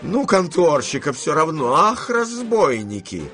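A man voicing an imperious, impatient old grandmother in an audiobook, with loud, irritable, commanding exclamations. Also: a little high, normal speed, voice pushed up, lightly acted. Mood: indignant, commanding. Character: imperious, impatient old Russian grandmother, hot-tempered gambler. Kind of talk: dramatized audiobook reading.

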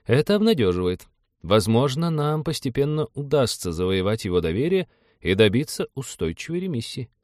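Man playing an optimistic ship's doctor, pitched up. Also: very animated, fairly quick, darkish tone, dry recording, studio quality, close to an everyday voice. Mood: hopeful.

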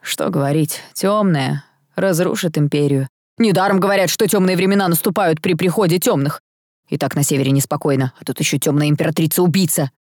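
A woman's voice: sits low, fairly quick, darkish tone, dry recording. Casting: woman narrator as nosy market salesman, scandal-mongering, unpleasant voice, audiobook style, very dry sound. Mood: knowing gossip. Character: gossipy male shop seller with an unpleasant voice.

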